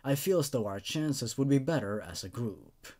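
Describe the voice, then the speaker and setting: A man voicing a haunted, fearful narrator of a first-person horror story, speaking gravely and hushed at the ends of phrases. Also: mid-range voice, animated, normal speed, natural voice. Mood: grave, warning.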